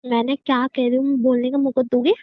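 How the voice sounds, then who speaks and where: A woman's voice: sits high, flat delivery, a little fast, natural voice. A woman playing an irritable, teasing pregnant wife who scolds and bosses her husband in a naturalistic audio drama. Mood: teasing scolding. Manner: scolding, bossy, playfully exasperated. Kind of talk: naturalistic Hindi audio drama of couple banter. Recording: dull lo-fi audio.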